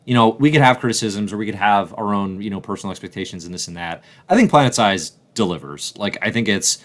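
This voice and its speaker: Enthusiastic low-voiced male show host. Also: expressive, fast talker. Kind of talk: casual chat and commentary.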